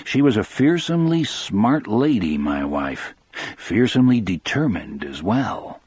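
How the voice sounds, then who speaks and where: A man's voice: on the low side, very animated, a little slow, darkish tone, breathy edge, dry recording. A man narrating an audiobook in the first person, in a scripted, dramatic storytelling style.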